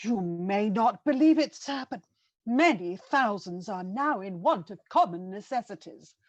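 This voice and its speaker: Earnest, polite fundraiser lady actress, hesitant and expressive, old radio-drama style, dry recording. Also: medium pitch, measured pace, dry recording. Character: genteel, polite, flustered charity fundraiser lady. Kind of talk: vintage radio drama acting.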